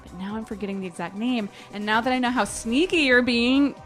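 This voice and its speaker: A woman co-hosting a podcast in casual banter, talking in an animated, giggly, expressive way. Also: fairly high pitch, a little fast, soft background music. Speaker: podcast co-host. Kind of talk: casual banter between co-hosts.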